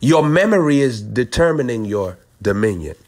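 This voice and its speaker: A man preaching in a prophetic teaching style, deliberate and aphoristic, repeating phrases for emphasis. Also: medium pitch, expressive, a little mellow. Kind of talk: prophetic teaching over a phone call.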